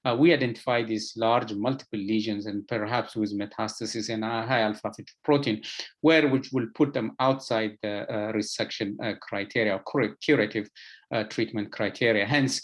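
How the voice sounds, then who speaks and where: A man with an Ethiopian accent gives a medical lecture as an expert, speaking haltingly with frequent filler sounds and repeated words. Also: fairly low pitch, fairly even intonation. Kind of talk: lecture presentation to health professionals.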